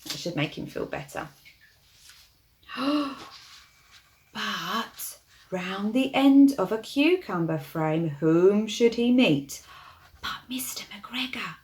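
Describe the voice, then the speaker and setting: A woman storyteller reading a storybook expressively to young children, with hushed asides and gentle questions to the listeners. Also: mid-range voice, expressive, slow, pauses often.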